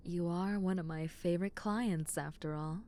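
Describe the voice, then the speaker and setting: A young adult woman voicing a kind, caring dentist in an audio roleplay, speaking softly and reassuringly, often dropping into a whisper, with a playful, teasing edge. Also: lowish voice, steady tone, moderate pace, slight background noise, lightly acted. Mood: soothing. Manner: soft, gentle and reassuring, slipping into whispers; playful, with frequent soft giggles. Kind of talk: ASMR roleplay.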